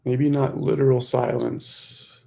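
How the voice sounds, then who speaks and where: A man guides a meditation in a very slow, even voice with a gravelly creak. His phrases are short and gently instructive, set apart by pauses. The pitch stays level throughout.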